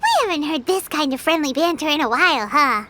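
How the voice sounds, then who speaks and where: A woman's voice: expressive, brisk, background noise. A woman voicing a tiny, childlike fairy companion in a video game, perky and cartoonish. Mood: warm, agreeing. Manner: squeaky, perky, speaks in third person.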